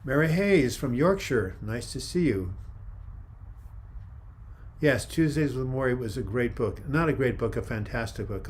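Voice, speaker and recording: Calm, soft-spoken older man. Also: medium pitch. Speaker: livestream host. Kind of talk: casual live chat reading viewer comments.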